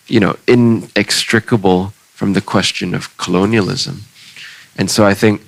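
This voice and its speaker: A young man, a poet speaking on a festival panel, measured and reflective, into a handheld mic in a large hall. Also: sits low, vocal fry. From Singapore. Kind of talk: panel conversation. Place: large bright public hall indoors. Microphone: handheld microphone.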